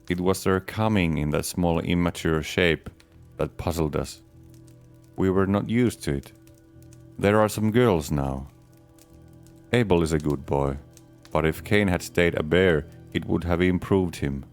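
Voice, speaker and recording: A man giving a measured, deadpan first-person reading of a comic diary, speaking as its writer. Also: low-pitched. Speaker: audiobook reader. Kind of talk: dramatic reading of a diary as Adam.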